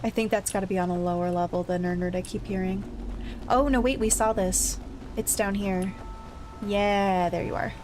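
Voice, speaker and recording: A woman's voice: mid-range voice, steady tone, normal speed, noisy background. A woman giving casual, chatty gameplay commentary, thinking aloud with playful little exclamations. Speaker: gamer streaming a blind play-through.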